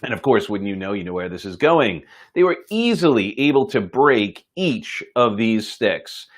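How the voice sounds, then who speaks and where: A man telling a motivational story in an informal, folksy and earnest way, pausing for dramatic effect. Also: on the low side, big pitch swings. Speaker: host telling a moral story.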